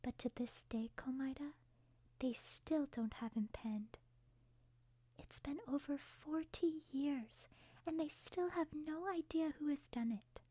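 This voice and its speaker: Woman acting as Sonia, a high soft half-whispering princess. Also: slow, no room sound. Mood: eager, intrigued. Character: young princess, refined, eager serial-killer enthusiast. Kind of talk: ASMR roleplay.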